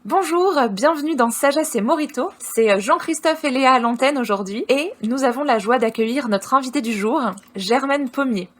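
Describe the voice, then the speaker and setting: A woman's voice: high voice, moderate pace, few pauses. A woman co-hosting a podcast, introducing a guest and asking questions in an earnest, friendly way with many hesitations. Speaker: podcast co-host.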